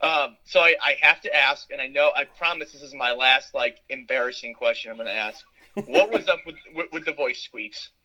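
A man's voice: medium pitch, animated, moderate pace, bright, forceful tone, highs cut off. A man co-hosting a casual interview, playful and teasing with the guest. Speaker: co-host.

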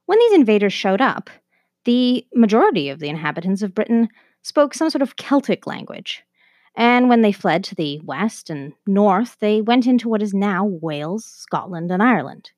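A woman's voice: mid-range voice, sing-song, normal speed, darkish tone, dry recording, studio quality. A woman presenting a scripted educational narration in a conversational way, as the host of a history lesson.

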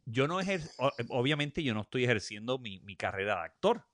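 Bright-voiced, salty Puerto Rican man. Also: mid-range voice, very animated, a little fast, no room sound, studio quality. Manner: informal, salty slang. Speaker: interview guest. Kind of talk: casual chat.

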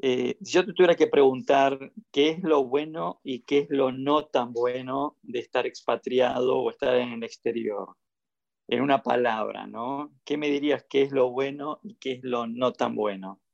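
Male podcast host, Argentine accent, conversational, mid pitch with plenty of vocal fry. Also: steady tone, normal speed, a little mellow. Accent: Argentine Spanish. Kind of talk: casual conversational interviewing. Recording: dull lo-fi audio.

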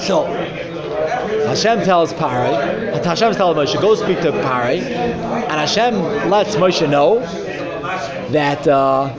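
A man's voice: fairly high pitch, animated, slow pace, pauses often. A man teaching an informal Torah class, explaining step by step and posing rhetorical questions to his students. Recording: dull lo-fi recording with loud crowd.

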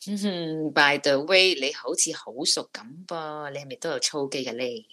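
A woman's voice: low voice, normal speed, bright, forceful tone. A woman with a Hong Kong Cantonese accent co-hosting a radio chat, musing and teasing. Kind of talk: casual chat.

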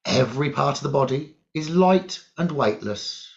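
A man leading a guided meditation as a teacher, giving calm, deliberate instructions in short phrases with long pauses. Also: mid-range voice, creaky. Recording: dull, lo-fi sound.

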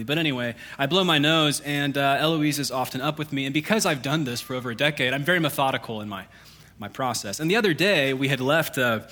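A male pastor with a bright, forceful voice delivers a sermon. He reads scripture and then drifts into chatty asides and self-deprecating jokes, full of fillers, at a middle pitch. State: congested.

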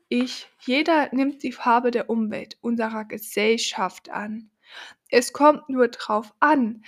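A woman hosting a podcast, talking slowly and thoughtfully to the listener, her voice deepened by a cold. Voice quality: lower than usual from a cold. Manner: slow, thoughtful, addresses the listener directly. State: has a cold. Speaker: podcast host. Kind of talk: reflective podcast monologue.

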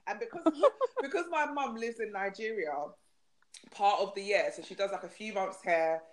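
Brief: young South London woman, podcast guest, blunt and animated storyteller. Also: mid-range voice, normal speed. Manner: frank, swearing, laughs a lot. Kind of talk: casual chat.

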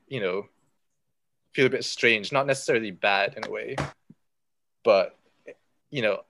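Bright, dry-sounding young man, native Malay speaker. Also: fairly low pitch, no echo. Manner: thoughtful, searches for words with many fillers. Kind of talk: casual chat.

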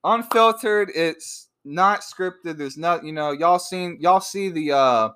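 A man's voice: on the high side, slow, fairly bright tone, clear, resonant tone. A man in his late twenties co-hosting a casual, unscripted podcast chat, laughing often and rambling through restarts. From born in Georgia, raised in Virginia. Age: 20s. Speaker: podcast co-host.

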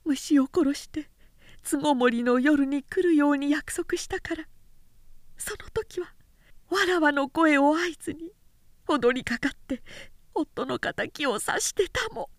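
A woman's voice: high voice, lively intonation, takes it slowly, no room sound, studio quality, voice pushed up, full character voice. A woman voicing a grieving, resolute noblewoman in an audiobook, with plaintive, ladylike, old-fashioned speech. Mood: tearful, pleading. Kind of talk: dramatic literary audiobook reading.

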